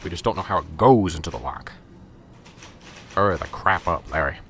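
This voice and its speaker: A man voicing a gruff, impatient male police sergeant in an amateur radio drama, curt in manner. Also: deep voice, expressive, normal speed, a bit bright, heavily acted.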